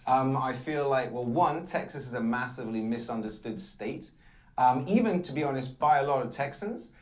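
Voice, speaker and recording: A man's voice: medium pitch, expressive, moderate pace. A man in his thirties with an English accent, fluently answering interview questions as a guest. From England. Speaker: guest, content creator.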